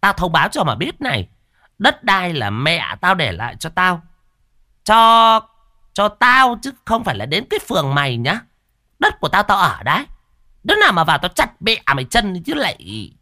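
A shrill, high-pitched scheming village woman , played by a male narrator, bellows boasts and threats with a brash, mocking edge. Her voice sits far above his own and rings loud and bright in a close, dry telling. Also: very animated, unhurried, pauses often, dry recording, exaggerated voice. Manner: fake wailing, brash and boastful.

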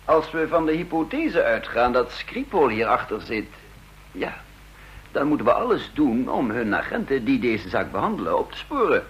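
A man's voice: medium pitch, sing-song, dull audio, natural voice. An actor playing a doubtful male intelligence chief in a radio drama. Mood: skeptical. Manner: doubtful, measured.

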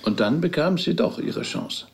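An actor playing a patient, attentive rabbi in a radio play, calmly asking probing questions in a measured way. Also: male voice, on the high side, creaky, a little hiss or hum. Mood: curious, probing. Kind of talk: naturalistic radio play dialogue.

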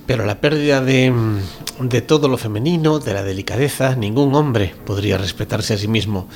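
A man's voice: medium pitch, normal speed, a little mellow, slight background noise, lightly acted. A man acting an earnest, conventional doctor in a radio drama, formal and polite, with a hesitant, flustered manner. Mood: polite, uneasy.

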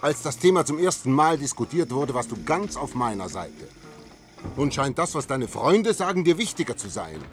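An actor playing a stubborn, defiant scientist husband in a German science-fiction radio drama, earnest and argumentative. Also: male voice, mid-range voice, sing-song, normal speed, soft background music, close to an everyday voice. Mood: stubborn, hurt.

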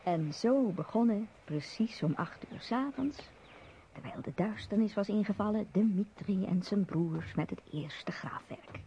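A woman narrating a radio play in a measured storytelling manner. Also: medium pitch, mellow, a little hiss or hum. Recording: muffled radio play recording.

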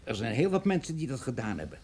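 An actor playing a suspicious, insinuating drug investigator in a radio drama, questioning in a needling way. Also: male voice, medium pitch, big pitch swings, quick pace, a little mellow, breathy edge. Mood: insinuating, stern. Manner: insinuating, interrogating.

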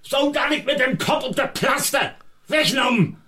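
An actor playing a gruff, hot-tempered, stubborn farmer with northern German dialect, blustering in a radio drama. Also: male voice, very high-pitched, moderate pace, pushed and bright. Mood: indignant, blunt. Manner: blustering, rustic dialect speech.

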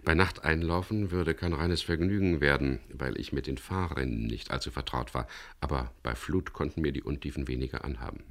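Very deep, gravelly skipper. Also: male voice, brisk. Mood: calm, reflective. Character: wry, weary British boat skipper narrating his adventure. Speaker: first-person narrator and lead character. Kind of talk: 1970s German crime radio play, narration and dialogue.

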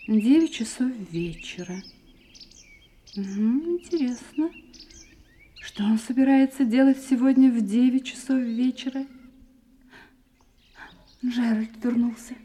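An actress playing an affectionate, anxious young wife in an audio play, with expressive theatrical delivery. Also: female voice, a little high, slow pace, long pauses. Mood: curious, playful. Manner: expressive theatrical delivery, swinging from playful teasing to uneasy hushed asides. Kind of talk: Russian audio play acting.

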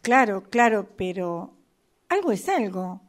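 Woman as a slow, lilting resigned tramp. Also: mid-range voice, big pitch swings. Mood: resigned, wondering. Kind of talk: amateur radio theatre workshop reading of an absurdist play.

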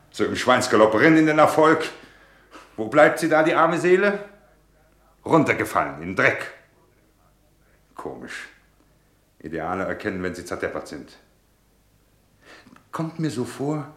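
A man's voice: low voice, fairly bright tone, roomy sound, exaggerated voice. An actor playing a bitter, disillusioned middle-aged businessman husband in a German radio drama, with a sarcastic, theatrical way of talking. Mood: accusing, agitated, then resigned, brooding. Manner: sarcastic, rhetorical, theatrical delivery.

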